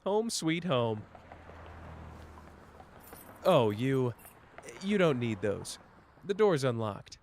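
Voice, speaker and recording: A man's voice: mid-range voice, very slow, pauses often, slight background noise, heavily acted. A man playing a teasing young detective. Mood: gentle teasing. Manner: playful, teasing, jumps between soft and excited. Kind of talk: ASMR roleplay.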